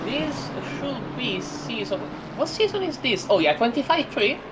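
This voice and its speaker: A woman's voice: fairly high pitch, sing-song, measured pace. A woman with an Italian accent giving chatty live play-by-play commentary on a sim race, with many fillers and restarts. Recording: loud racing car engine noise behind. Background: loud game car engines.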